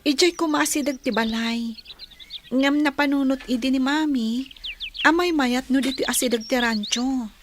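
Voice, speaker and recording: Woman as a high-voiced gentle young daughter. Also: normal speed, slight background noise, close to an everyday voice. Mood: soft, wistful. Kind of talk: radio soap drama acting.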